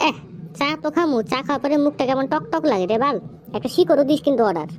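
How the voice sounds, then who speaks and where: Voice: high-pitched, animated, quick pace, very noisy. A performer voicing a cocky, easily offended young friend in a comedy cartoon, whining and defiant in slangy speech. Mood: casual, demanding.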